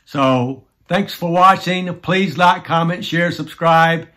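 A man's voice: fairly high pitch, slow, sharp tone. A man in his sixties hosting a casual DIY vlog, explaining things to the camera. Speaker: DIY video host. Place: small indoor home workshop room.

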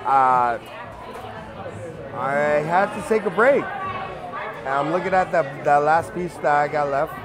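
A man's voice: fairly high pitch, takes it slowly, pauses often. A man in his thirties reviewing a burger in a casual vlog, chatty and exclaiming, laughing often, filmed on a phone with an earbud mic. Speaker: food vlogger. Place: busy bar and restaurant, indoors. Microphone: earbud mic, phone held close. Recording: noisy crowded bar with music. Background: loud music and crowd chatter.

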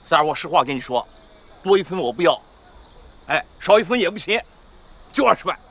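A man's voice: on the high side, expressive, brisk, a bit bright, slight background noise. An actor playing a stubborn middle-aged village man selling his house in a film, folksy and emphatic. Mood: insistent, stubborn.

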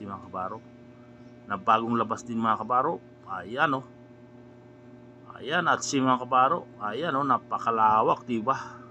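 Filipino seafarer vlogger, bright voice. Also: male voice, mid-range voice, a little hiss or hum. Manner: keeps calling viewers "mga kabaro", points things out with "ayan, oh". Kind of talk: casual vlog narration over ship footage.

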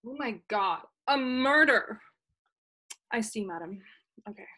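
A woman's voice: fairly high pitch, expressive, a little slow, pushed and bright, heavily acted. A young woman playing a ditzy, casual, apologetic chief operator in a comic play, hesitant and full of filler words. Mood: puzzled, hesitant. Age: young adult. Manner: hesitant, filler-laden valley-girl phrasing. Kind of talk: comic stage-play acting over video call. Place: small plain room at home. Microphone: webcam video call.

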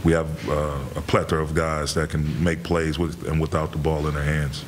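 A middle-aged man, a head coach, answering reporters' questions after a game in a measured way, with many fillers and repeated words. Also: rumbling low, flat delivery, slight background noise. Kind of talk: postgame press conference answers. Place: press room in front of a sponsor backdrop.

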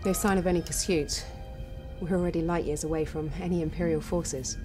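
An actress playing a steady, wistful young starship pilot in a video game, composed and serious. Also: female voice, on the low side, fairly quick, close to an everyday voice. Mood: pensive. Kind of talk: cinematic video game acting. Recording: loud music behind.

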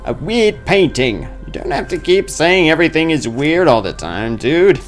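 A man's voice: fairly high pitch, very animated, normal speed, faint music behind. A man giving casual, goofy let's play commentary on a horror game, talking to himself as he plays.

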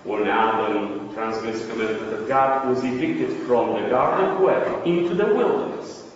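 A man's voice: mid-range voice, normal speed, slight background noise. A man with a Romanian accent giving an academic lecture, explaining step by step and answering his own questions. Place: lecture room. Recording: distant, echoey, dull lecture hall recording.

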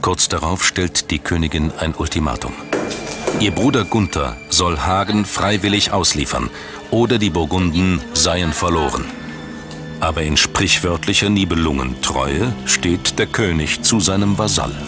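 A man narrating a scripted documentary in a measured, dramatic storytelling manner. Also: sits low, expressive. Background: background music.

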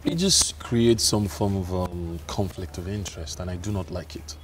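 A man in his thirties with a Nigerian accent, acting a clinic manager in a film drama and speaking in a measured, stern way. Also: sits low, close to an everyday voice. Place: outdoors on a clinic balcony. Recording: distant mic with faint noise.

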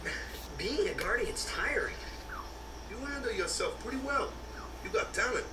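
An easygoing young fantasy game warrior, pitched high and speaking in loose, slangy phrases. The game audio sounds roomy and distant, with clear noise over it. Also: male voice, animated, moderate pace. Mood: casual, friendly. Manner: informal, clipped slangy speech. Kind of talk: video game voice acting.

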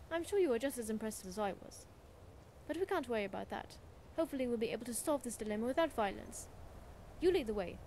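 A woman's voice: fairly high pitch, a little mellow, slight background noise, natural voice. A woman playing a haughty, witty scholar-adventurer in a video game, speaking in a smug, articulate and wry way. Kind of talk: video game character dialogue.

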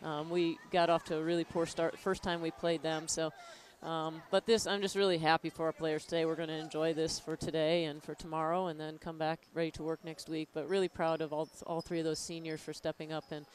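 A woman's voice: sits low, flat delivery, fairly quick, hardly any pauses, slight background noise. A tired American woman in her thirties, a basketball coach giving a post-game interview on a headset mic. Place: courtside in an indoor gym.

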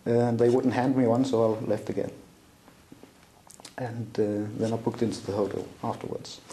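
A man's voice: medium pitch, little pitch movement, normal speed, dark tone, reverberant, a little hiss or hum, low-quality recording. A middle-aged man with a Danish accent recounting a personal story in an interview, with many fillers and restarts. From Denmark. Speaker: interview guest. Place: small room indoors, seated at a table.

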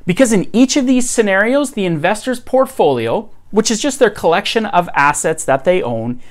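Man host, 30s, energetic scripted delivery, very animated pitch, close mic. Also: moderate pace. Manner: upbeat, energetic, emphatic. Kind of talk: scripted explainer to camera. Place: home room with bookshelves.

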